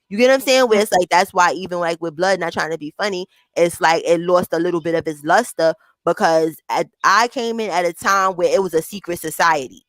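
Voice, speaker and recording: A woman with a high voice hosting a casual chat, talking in a blunt, profane, animated way. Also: no room sound. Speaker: host.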